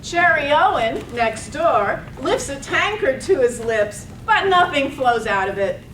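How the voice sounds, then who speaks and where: A woman narrator giving a measured, phrase-by-phrase poetic reading of a staged radio play. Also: high-pitched, sing-song, a bit bright. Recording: distant echoey room, faint noise.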